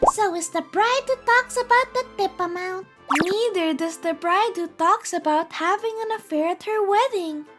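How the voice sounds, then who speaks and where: A woman voicing a polite young female college student, narrating and chatting in a scripted manga story. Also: very high voice. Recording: music behind the voice.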